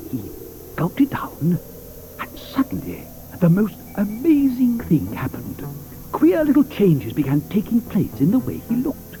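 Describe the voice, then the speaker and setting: An actor playing an eccentric, theatrical chocolate inventor who hesitates with many "uh"s and tells stories full of wonder. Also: male voice, high-pitched, wildly swooping pitch, unhurried, heavily acted, low-quality recording. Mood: storytelling. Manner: hesitant, with frequent "uh"s, then storytelling with wonder. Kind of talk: 1980s audio drama acting. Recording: studio audio drama with soft music underneath.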